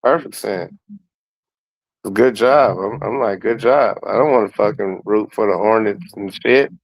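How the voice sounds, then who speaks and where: A man's voice: fairly low pitch, measured pace, poor audio. A man giving his opinions on sports in casual, slangy, profane talk, often repeating words to make his point. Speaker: guest commentator.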